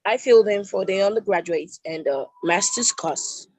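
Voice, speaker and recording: A woman's voice: medium pitch, moderate pace, a little mellow, dry recording, poor audio. A woman with a Nigerian accent, a prospective student politely asking formal questions in an online meeting, often rephrasing them. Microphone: video call.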